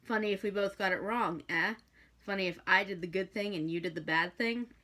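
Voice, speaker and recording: A woman's voice: medium pitch, a little fast, pushed and bright, close to an everyday voice. A woman reads the part of a sardonic demon from a storybook. He muses aloud in a wry, sarcastic way. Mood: musing. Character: sardonic, musing male demon.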